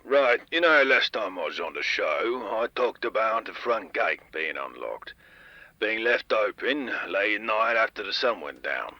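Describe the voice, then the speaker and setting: A man's voice: fairly low pitch, very animated, a little slow, dry recording, muffled recording, heavily acted. A man playing a stern, fed-up town security chief lecturing listeners in a public announcement in an audio drama. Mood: exasperated.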